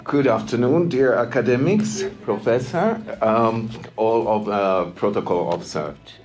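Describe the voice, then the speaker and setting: A man from Finland with a Finnish accent, giving a formal launch speech in a halting way with many pauses and repeated words. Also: medium pitch, a little mellow, soft background music. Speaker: EU delegation official giving a speech.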